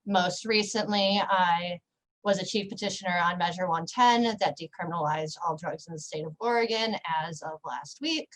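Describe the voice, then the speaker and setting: A woman's voice: on the low side, normal speed, a bit bright, low-quality recording. A woman who works in harm reduction introduces herself on a panel, talking candidly and passionately with self-deprecating humor.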